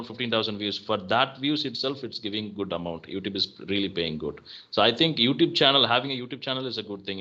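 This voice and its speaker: A man with an Indian English accent chatting casually on a call, relaxed and full of fillers. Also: medium pitch, lo-fi sound. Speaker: channel host. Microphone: call audio.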